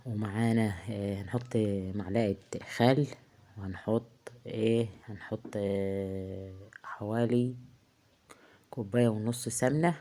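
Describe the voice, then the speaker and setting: A woman with an Egyptian accent, hoarse from a heavy cold, chatting casually through a cooking tutorial in her home kitchen, halting often with drawn-out filler sounds. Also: a bass voice, steady tone, mellow. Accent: Egyptian Arabic. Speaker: home cook vlogger. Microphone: phone.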